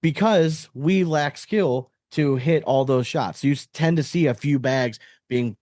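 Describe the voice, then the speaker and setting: A man's voice: on the high side, measured pace, darkish tone, dry recording, clean studio sound. A man hosting a podcast in a casual, chatty style. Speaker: podcast host.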